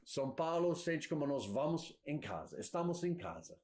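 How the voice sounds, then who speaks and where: Interpreter, man, slightly high pitch, hesitant word-searching delivery. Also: big pitch swings, moderate pace, darkish tone. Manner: hesitant, searching for words, fillers and restarts. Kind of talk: live sermon interpreting.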